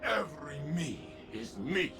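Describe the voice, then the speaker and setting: A man playing a solemn, resolute robot warrior weathered by centuries in a video game, speaking in a grave, earnest, deliberate way. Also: fairly high pitch, big pitch swings. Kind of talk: video game character monologue. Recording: music under the voice.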